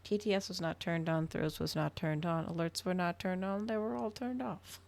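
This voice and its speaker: A woman's voice: on the low side, moderate pace, gravelly, a little mellow. A woman livestreamer chatting casually to her viewers, rambling, trailing off and thinking aloud. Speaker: livestreamer hosting an ASMR and gaming channel.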